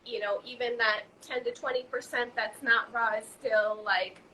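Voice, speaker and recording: A young woman from Hungary talks as a guest in a relaxed chat. Her voice sits a little high and sounds bright. She stops and restarts often and fills gaps with "um" and "like", and a faint hiss sits behind her. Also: low-quality recording. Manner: halting, many fillers and restarts. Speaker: guest, raw food author. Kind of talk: casual conversation.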